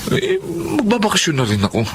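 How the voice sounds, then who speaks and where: An actor playing a rich, older married lover, indulgent and placating, in a Filipino radio drama with faint ambience. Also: male voice, sits high, huge pitch swings, quick pace, slight background noise.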